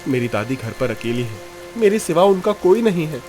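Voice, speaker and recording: A man's voice: fairly high pitch, sing-song, normal speed, a little mellow, soft background music. A man playing a young, stubborn, suspicious fisherman in a dramatised cartoon story, emphatic and dramatic. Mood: accusing.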